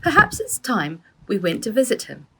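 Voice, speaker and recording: A woman's voice: mid-range voice, huge pitch swings, normal speed, vocal fry, a bit bright, a little hiss or hum, pitched above the performer's own voice, heavily acted. A woman voicing an eager, admiring young boy in a children's story, earnest and keen.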